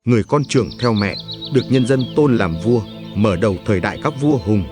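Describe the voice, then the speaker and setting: A man with a fairly low voice tells a legend as a scripted narrator. His delivery is solemn and measured, and music plays clearly behind him. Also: lively intonation. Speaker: story narrator.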